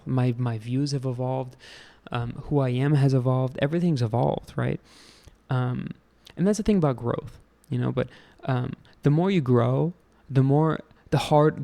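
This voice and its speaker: Young American man, host of a solo rant show, rambling and profane, gravelly mid-pitched voice. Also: warm tone. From United States. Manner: rambling, profane, many fillers and restarts.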